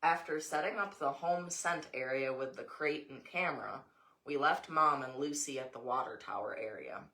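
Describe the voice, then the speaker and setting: A woman's voice: very deep voice, normal speed, pushed and bright. An American woman reading a story aloud, with casual asides along the way. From Montana, USA.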